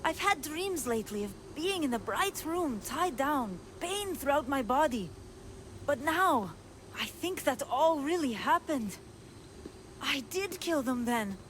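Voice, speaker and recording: A woman voicing an anguished, earnest young woman with a dark hidden side, in a video game. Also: high voice, very animated, measured pace, slight background noise, exaggerated voice, poor audio. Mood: shaken, despairing. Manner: earnest, emotional. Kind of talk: video game cutscene acting.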